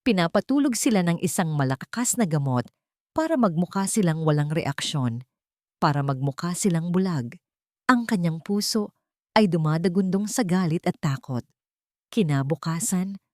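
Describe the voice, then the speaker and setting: A woman's voice: on the low side, animated, moderate pace, darkish tone, dry recording, studio quality. A woman reading a scripted story as an audiobook narrator, in a dramatic storytelling style.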